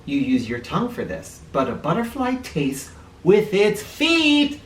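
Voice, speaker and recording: Animated, slow-paced man reading to children. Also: sits high. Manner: playful, explanatory, addressing young listeners with questions. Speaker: children's book reader and teacher. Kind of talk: animated read-aloud with asides for children. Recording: roomy, distant mic, faint noise.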